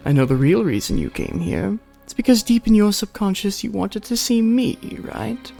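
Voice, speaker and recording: A streamer voicing a mocking, sinister male digital villain who talks in slow taunts and drops to whispers. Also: medium pitch, very animated, gravelly, warm tone, soft background music, pitched above the performer's own voice. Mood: manipulative. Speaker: streamer voicing a visual novel.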